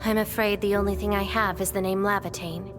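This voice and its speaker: A woman's voice: medium pitch, little pitch movement, brisk, music in the background, lightly acted. Woman playing a cool, wry young swordswoman. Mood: wistful. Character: aloof young woman swordfighter, teasing, secretly melancholy amnesiac. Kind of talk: video game voice acting.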